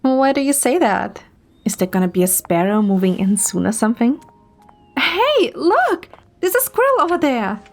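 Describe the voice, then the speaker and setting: A woman's voice: sits high, normal speed, soft background music. A woman acting a playful, chatty, curious young woman who teases her friend, in an amateur audio drama. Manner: teasing, animated, expressive.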